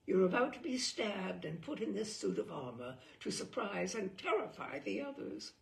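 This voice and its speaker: A woman's voice: mid-range voice, sing-song, normal speed, creaky, roomy sound. A woman reading a humorous mock guidebook aloud in an arch, theatrical, knowing manner. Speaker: storyteller reading a book aloud.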